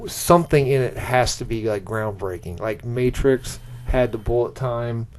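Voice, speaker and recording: A man's voice: medium pitch, measured pace, a little mellow. A man chats casually as a podcast co-host in a mid-range voice. He pushes his points insistently and restarts often. There is a layer of noise behind him. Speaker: podcast co-host pitching movie ideas. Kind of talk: casual chat.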